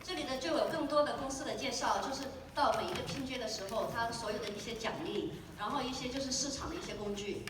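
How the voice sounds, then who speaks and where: A woman interprets on stage, quick and filler-laden as she restates each point. Her voice sits a little high. She is taped from far back in an echoey convention hall with steady background noise. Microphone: handheld mic, recorded from far in the audience.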